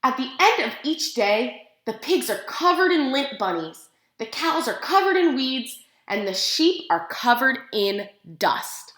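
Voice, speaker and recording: A woman preschool teacher reading a picture book aloud to young children, expressively, pausing to put questions to her listeners. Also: sits high, sing-song, a bit bright. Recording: roomy, echoing room sound.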